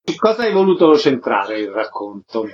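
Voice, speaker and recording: A man's voice: mid-range voice, very animated, normal speed, muffled recording. A man hosting a radio programme, asking concise questions and making formal announcements. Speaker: radio host and interviewer.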